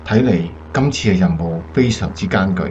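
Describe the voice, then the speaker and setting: A man acting a steady, earnest American wartime bomber captain in a radio drama. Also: low-pitched, brisk, highs cut off, a little hiss or hum. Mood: grave, commanding.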